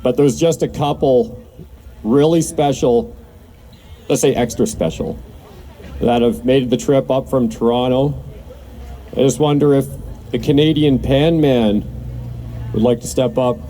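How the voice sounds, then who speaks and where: A man's voice: mid-range voice, unhurried, pauses often, mellow. A man hosting an open mic, giving rambling, appreciative, informal announcements to a gathering. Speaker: event host at an open mic.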